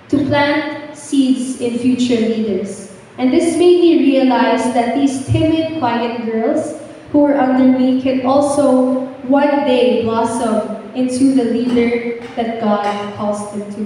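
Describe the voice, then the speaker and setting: A woman, a camp counselor giving an earnest, measured testimony that encourages the church. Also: on the high side, mellow, slight background noise. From Philippines. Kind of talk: prepared church testimony with exhortation. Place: large church hall. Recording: echoey hall, mic from far off.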